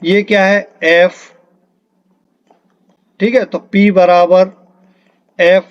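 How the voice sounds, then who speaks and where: Slow, high-voiced man teaching. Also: muffled recording. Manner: explanatory, repeats key terms and checks understanding. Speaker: physics teacher for exam preparation. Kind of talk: step-by-step lesson working through questions.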